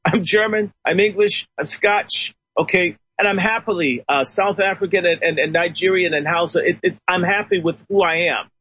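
A man's voice: sits high, moderate pace, few pauses, sharp tone, muffled recording, a little hiss or hum. A man phoning in as a guest on a talk radio show, telling personal stories emphatically.

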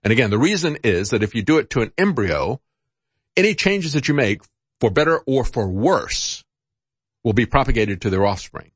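A man's voice: medium pitch, very animated, normal speed, husky, no echo. A middle-aged man hosting a talk show, giving informal, rambling, conversational news commentary. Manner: rambling, conversational, chuckles at his own asides.

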